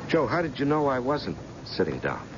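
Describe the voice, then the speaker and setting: An actor playing a friendly, curious, probing visitor in old-time radio drama, speaking in a casual, direct way. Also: male voice, mid-range voice, huge pitch swings, moderate pace. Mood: puzzled, amused. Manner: casual, inquisitive. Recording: dull, noisy old radio broadcast.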